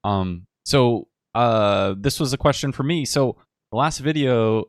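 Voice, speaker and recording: A man hosting a podcast interview, asking questions in a relaxed, encouraging way. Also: mid-range voice, unhurried, no echo, clean studio sound. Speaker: podcast host. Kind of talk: casual podcast conversation.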